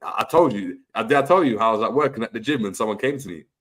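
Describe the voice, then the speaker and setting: A man's voice: on the low side, lively intonation, racing, fairly bright tone. A young man, hosting a casual football chat and reading out viewer comments, slangy and prone to repeating words. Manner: laid-back, slangy, stumbles and repeats words. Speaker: podcast host.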